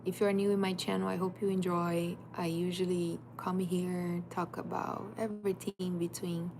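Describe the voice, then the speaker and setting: A woman's voice: on the low side, level tone, normal speed, creaky. Woman, emphatic life coach. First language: Portuguese. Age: 30s. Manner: emphatic, direct, repetitive second-person urging. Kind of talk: motivational livestream talk. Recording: noisy background.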